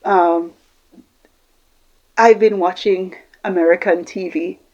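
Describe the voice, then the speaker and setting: A woman's voice: on the low side, fairly even intonation, slow pace, darkish tone. A middle-aged woman from Jamaica, talking unscripted as a communication coach and rambling through long lists of examples. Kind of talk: unscripted talk to camera. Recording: slightly dull lo-fi recording.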